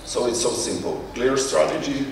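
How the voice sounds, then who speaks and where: A man with a Ukrainian accent gives an informal conference presentation in non-native English, haltingly searching for words. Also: medium pitch, lively intonation, gravelly. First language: not English. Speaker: conference speaker, company CEO. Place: conference hall. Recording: echoey hall, distant mic, faint noise.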